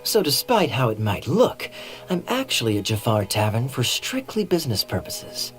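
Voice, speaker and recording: A man playing a suave, sly young knight captain in a video game, teasing and evasive, with smooth theatrical irony. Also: mid-range voice, huge pitch swings, normal speed. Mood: playful, teasing. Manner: smooth, theatrical, playfully ironic. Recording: soft music behind the voice.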